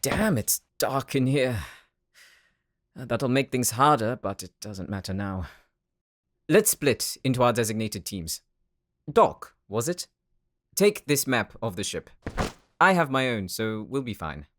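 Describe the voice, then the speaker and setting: Male actor as dutiful team leader, mid-pitched, earnest, commanding, tactical, audio roleplay. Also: lively intonation, measured pace, long pauses, slight background noise, natural voice. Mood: businesslike.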